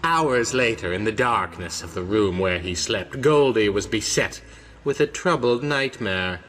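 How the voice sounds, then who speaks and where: A man's voice: on the low side, expressive, unhurried, a bit bright, soft background music. A man narrating a horror comic in a grave, dramatic, scripted storytelling style. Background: musical score.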